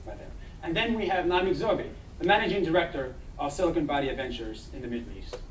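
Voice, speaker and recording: Young guy from israel pitching his startup, kinda high voice, echoey hall, mic far away. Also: quick pace, slight background noise. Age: young adult.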